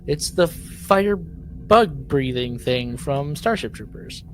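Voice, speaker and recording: A man's voice: fairly high pitch, expressive, slow, faint music behind. A man co-hosting a podcast, chatting casually and informally about what he has listened to. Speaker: podcast co-host. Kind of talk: casual chat about a sci-fi audio drama.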